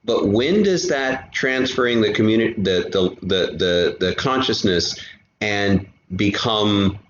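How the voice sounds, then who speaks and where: Middle-aged male guest, lowish voice, rambles and repeats words in casual chat; muffled, echoey room sound. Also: expressive, a bit bright, a little hiss or hum. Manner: halting, repeats words as he thinks aloud. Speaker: guest, writer and software programmer. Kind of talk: casual chat, discursive storytelling.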